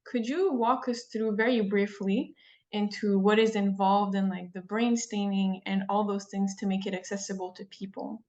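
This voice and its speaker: A woman's voice: medium pitch, fairly even intonation, darkish tone. Woman interviewer on a dull line. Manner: measured, uses "like" fillers. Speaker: podcast host, interviewer. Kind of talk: conversational interview.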